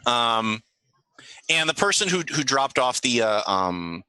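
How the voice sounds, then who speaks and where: Bright, animated man running a tabletop game. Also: medium pitch, measured pace, clean tone, dry recording. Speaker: game master. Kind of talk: improvised tabletop game narration.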